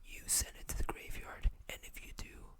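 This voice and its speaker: An ASMR host speaking in a breathy, unvoiced whisper close to the microphone, unhurriedly reading text aloud with casual asides. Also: moderate pace.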